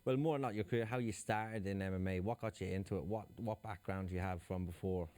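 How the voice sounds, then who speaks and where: A man's voice: deep voice, fast, dark tone, no echo. A man hosting an interview, asking loose, run-on questions. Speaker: interviewer host.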